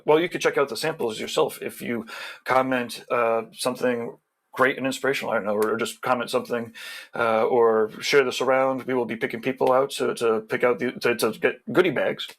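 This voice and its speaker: A man's voice: mid-range voice, steady tone, brisk. A man on a video call who runs the show, reading out sponsor plugs in a rambling, casual way with many restarts. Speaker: show organizer.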